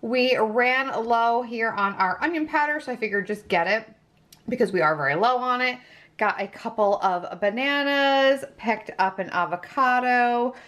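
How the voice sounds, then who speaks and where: A woman's voice: fairly high pitch, moderate pace, a bit bright. A woman chatting casually as a vlog host, talking through things in an emphatic, conversational way, recorded indoors at home on a camera. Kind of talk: casual grocery haul narration, chatty.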